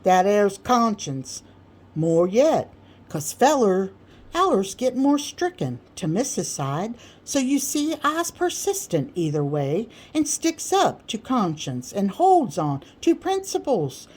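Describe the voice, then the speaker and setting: A woman's voice: mid-range voice, very animated, slow pace, a little mellow. Woman voicing a pompous, folksy male orator. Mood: self-important. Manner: grandiloquent, emphatic, folksy dialect speech with mangled big words. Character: pompous, boastful male orator holding forth to admirers.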